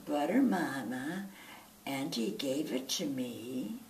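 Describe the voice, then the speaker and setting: A meek, innocent young possum boy, played by a woman reading aloud, gives simple, obedient answers at an unhurried pace. The storybook voice is slightly distant and roomy. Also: very deep voice, big pitch swings, a little mellow, heavily acted. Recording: roomy, distant mic, faint noise.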